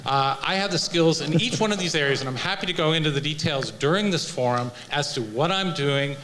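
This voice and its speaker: A man who sits on the city council, speaking at a candidate forum with frequent pauses and restarts. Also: a little high, animated, fairly bright tone, slight background noise, rough recording. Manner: hesitant, many uhs and restarts. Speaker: incumbent city council member. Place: senior community center.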